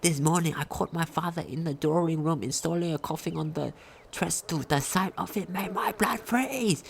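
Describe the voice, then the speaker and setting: Halting woman reading aloud, creaky, noisy recording. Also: on the low side. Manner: halting reading with stumbles and misread words. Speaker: game player reading in-game text aloud. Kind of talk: reading diary entries aloud in a game commentary.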